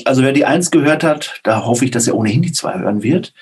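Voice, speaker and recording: Man, very raspy voice, mid pitch, interview guest, conversational, anecdotal, tag questions. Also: lively intonation, fast, darkish tone. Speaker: interview guest, audio drama author.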